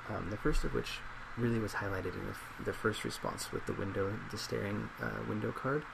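A man in his twenties in a soft-spoken ASMR role play as a psychologist, measured and reflective. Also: lowish voice, vocal fry. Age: 20s. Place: small indoor office at a desk. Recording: steady loud laptop fan hiss.

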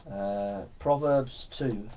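A man's voice: on the low side, very slow. A man preaching a Bible teaching sermon to young people in an earnest, exhorting manner, often checking for agreement. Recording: distant microphone, slightly dull sound.

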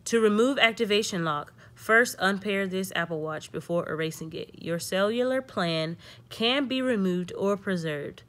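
A woman's voice: mid-range voice, moderate pace, a bit bright. A young woman hosting a casual step-by-step tech tutorial, thinking aloud and reading prompts in an informal way.

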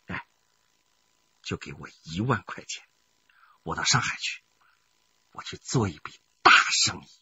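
A man's voice: deep voice, expressive, measured pace, long pauses, pushed and bright, dull audio, a little hiss or hum, full character voice. An actor playing a middle-aged, money-hungry husband in a radio crime drama, who repeats his words and swings between coaxing and bullying. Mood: irritated, dismissive.

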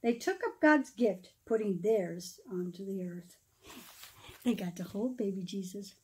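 A middle-aged woman reads a rhyming children's story aloud in an expressive, dramatic manner, pausing for emphasis. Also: mid-range voice, slight background noise. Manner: dramatic, emphatic phrasing with pauses, explaining asides to children. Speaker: pastor reading a children's story.

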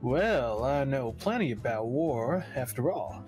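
Man playing a slow-talking flirty gamer dude. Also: medium pitch, animated, a little mellow, soft background music, close to an everyday voice. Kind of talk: comic-book voice acting.

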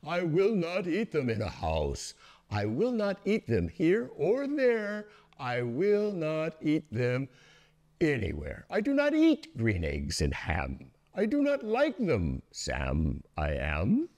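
A man reads as a grumpy, stubborn storybook creature. The refusals are emphatic and singsong, with extremely animated pitch and a measured pace. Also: full character voice. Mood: exasperated.

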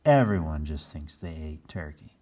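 A man narrating a children's storybook aloud in an expressive read-aloud style. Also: rumbling low, moderate pace, husky. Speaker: storybook reader.

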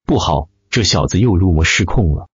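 A man's voice: deep voice, expressive, normal speed, husky, no room sound, lo-fi sound, heavily acted. A man voicing a brusque but caring old martial master with a blunt, grumbling manner in an audiobook. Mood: stern concern.

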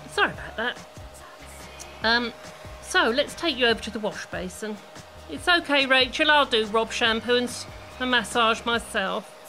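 Casting: woman to play nosy, secretly spiteful salon stylist, cooing and animated, radio comedy with music underneath. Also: a little high, normal speed, poor audio. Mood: sugary, chatty. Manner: cooing endearments, mock-innocent. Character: falsely sweet, nosy, vengeful woman hairdresser. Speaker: actor in an amateur audio play.

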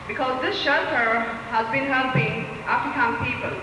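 A woman's voice: high voice, normal speed, background noise. Pleading woman speaking in an echoey hall. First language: not English. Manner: earnest and pleading, non-native phrasing. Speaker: community speaker at a rally. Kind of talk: impassioned public speech. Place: large community hall. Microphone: podium mic from a far camera. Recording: echoey hall recorded from far off.

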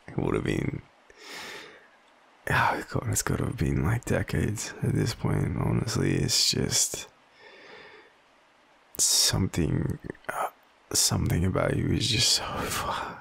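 Gravelly, low Australian man acting a devoted young boyfriend. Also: wildly swooping pitch, exaggerated voice. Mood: tender, nervous. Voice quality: husky, gravelly. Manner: hesitant, halting, soft-spoken confession. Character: vulnerable, devoted young male partner opening up. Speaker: audio roleplay performer. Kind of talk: intimate boyfriend audio roleplay.

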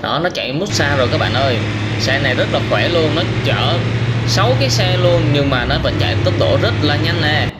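High-voiced man commentating over noisy game footage. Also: expressive, fairly quick. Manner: exclamatory, simple child-directed storytelling. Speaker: host of gaming reaction videos for children. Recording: noisy, car engine sounds behind.

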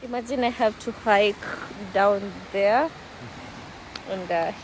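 A woman's voice: medium pitch, slow, poor audio. A young woman in her twenties vlogging a hike on a forest trail, chatty and giggly, switching between English and Swahili, filmed on a phone held at arm's length. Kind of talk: casual vlog narration while hiking. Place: outdoors on a forest trail near a waterfall. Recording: outdoor noise from stream and waterfall.

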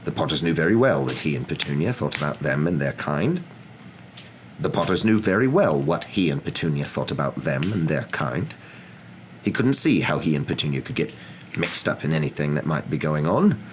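Very deep young male storyteller. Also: sing-song, normal speed, a little hiss or hum. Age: young adult. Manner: soft-spoken, dramatic. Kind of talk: ASMR story narration.

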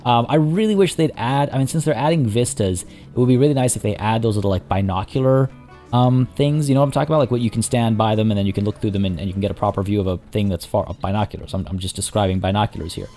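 Hoarse man chatting over gameplay. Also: fairly low pitch, quick pace, dark tone, soft background music. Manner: rambling, chatty, many restarts and fillers. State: hoarse, losing his voice. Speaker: let's play host. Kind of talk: casual gameplay commentary.

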